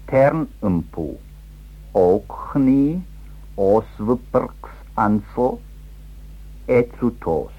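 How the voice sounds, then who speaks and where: A man's voice: mid-range voice, sing-song, muffled recording. A man reciting sound poetry, drawing out each syllable. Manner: drawn-out, syllable-by-syllable reading.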